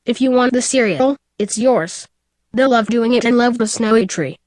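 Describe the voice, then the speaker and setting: A woman delivers a scripted, vintage-style radio commercial. Her voice is on the high side and recorded dry. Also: moderate pace, darkish tone, no room sound. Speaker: advertisement presenter. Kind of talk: scripted old-time radio commercial read.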